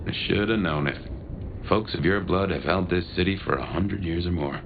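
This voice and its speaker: An actor voicing a courtly, amused vampire elder in a video game, with a gravelly voice and a theatrical, genteel delivery. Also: male voice, sits low, normal speed, music in the background. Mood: intrigued. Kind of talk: video game dialogue acting. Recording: muffled, noisy game audio.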